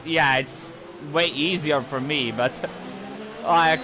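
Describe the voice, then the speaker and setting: A man whose first language is French, casually commenting while playing a racing game, rambling and breaking into sudden outbursts. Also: on the high side. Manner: rambling, easily distracted, quick outbursts. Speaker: gaming YouTuber. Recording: gameplay audio with clear engine noise.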